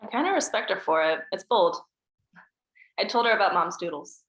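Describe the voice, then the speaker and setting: A woman reading a grieving teenage girl at a table read, soft and subdued. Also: medium pitch, animated, fairly quick, reverberant, close to an everyday voice, rough recording. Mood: subdued grief.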